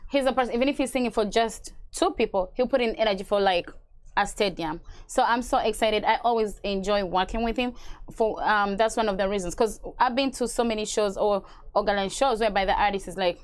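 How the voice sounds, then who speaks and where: A woman from Uganda, a radio host, answering interview questions in a studio in a relaxed, chatty way with many fillers. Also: mid-range voice, fairly quick, smooth. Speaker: radio host and event organizer. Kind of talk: interview guest.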